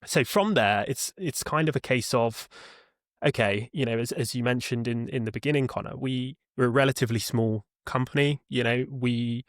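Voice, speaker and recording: A man with a lower-middle pitched voice speaks as an interview guest. He explains things in a conversational, halting way, doubling back on words as he goes. The sound is close and dry. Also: no room sound. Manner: halting, with repeated words and self-corrections. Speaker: podcast guest, customer support leader.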